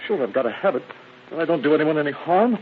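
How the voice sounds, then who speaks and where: A man's voice: fairly high pitch, lively intonation, quick pace, natural voice. An actor in a 1930s radio drama playing an agitated, well-to-do drug addict who stammers through his protests. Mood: pleading. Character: indignant then pleading drug-addicted well-known actor, prominent woman's son. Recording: muffled, noisy old radio broadcast.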